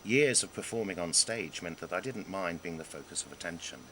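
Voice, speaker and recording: Guy narrating his own comic story, really animated and wry, dramatic pauses, some background noise. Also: low-pitched, poor audio. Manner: wry, self-deprecating, dramatic pauses and emphasis. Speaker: author reading own memoir. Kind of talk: scripted narration of a humorous memoir.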